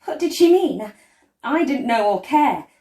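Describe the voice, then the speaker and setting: A woman reads a children's story aloud expressively, with deliberate phrasing and dramatic pauses. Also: high-pitched, very animated, warm tone. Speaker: storyteller reading a picture book. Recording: roomy, echoey indoor sound.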